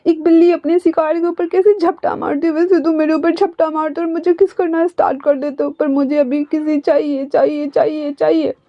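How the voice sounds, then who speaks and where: A woman's voice: high-pitched, flat delivery, a little fast, few pauses, lo-fi sound, pitched above the performer's own voice, close to an everyday voice. A woman voicing a sobbing, whiny young man. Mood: pleading. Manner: sobbing, pleading, sulky. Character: whiny, childish young man, always crying. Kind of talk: storybook reading.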